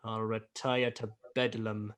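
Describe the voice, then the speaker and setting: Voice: medium pitch, little pitch movement, slow pace, lightly acted. An actor playing a bitter, miserly old businessman in a radio play, scornful, emphatic and theatrical. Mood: exasperated.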